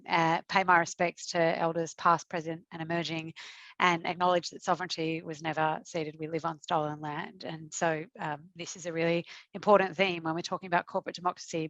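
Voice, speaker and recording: An Australian woman who runs a nonprofit, presenting a webinar with a husky voice, halting often with fillers and restarts. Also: lowish voice, a bit bright, no echo, low-quality recording. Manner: hesitant, many fillers and restarts. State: husky from long meetings. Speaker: executive director of a nonprofit.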